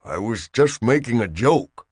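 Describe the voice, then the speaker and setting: A man's voice: medium pitch, expressive, unhurried, a little mellow, husky, no room sound, studio quality. A man voicing a bewildered young farm boy stuck in a cell, pleading and exasperated.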